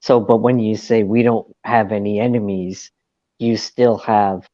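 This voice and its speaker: A man's voice: on the low side, steady tone, a little slow, dark tone, no room sound, lo-fi sound. A man chatting as a guest, hesitant and often qualifying his points. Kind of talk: casual chat.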